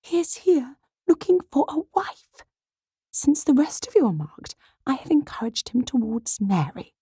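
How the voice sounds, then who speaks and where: A fussy, excitable middle-aged mother from a period storybook. Her voice shoots up very high and swoops about as she exclaims and hushes. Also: moderate pace, no room sound, studio quality, exaggerated voice. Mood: hushed, urgent. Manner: shrill, exclaiming, breathless hushing.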